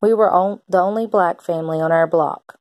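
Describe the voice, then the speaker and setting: Woman, children's read-aloud, teacher, lowish pitch, expressive delivery, dry close sound. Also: fairly quick, dry recording. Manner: expressive, acts out characters' lines.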